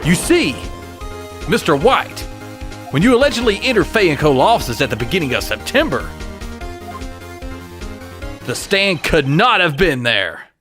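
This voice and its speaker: A man playing an earnest, easily flustered young defense lawyer in an expressive game-fandub style. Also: on the high side, measured pace, long pauses. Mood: determined. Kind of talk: game fandub courtroom drama. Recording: music behind the voice.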